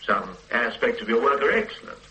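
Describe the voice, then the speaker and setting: Police superintendent, brusque, lecturing, bright tone, lively pitch. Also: male voice, a little fast, dull audio, a little hiss or hum. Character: stern middle-aged male police superintendent boss. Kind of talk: 1980s radio drama acting.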